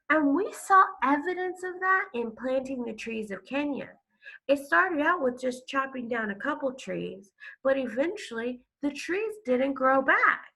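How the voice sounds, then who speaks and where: A woman teacher reading a story aloud to children, expressive and stopping to explain it. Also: sits high, vocal fry, slightly rough, poor audio. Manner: expressive, explains to listeners with questions and gasps. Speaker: elementary school teacher.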